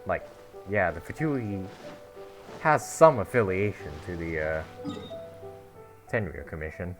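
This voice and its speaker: A man's voice: low-pitched, very animated, measured pace. A man giving casual, profane commentary while playing a video game. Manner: casual, swearing, laughing asides. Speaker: gaming streamer. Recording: game music playing under voice.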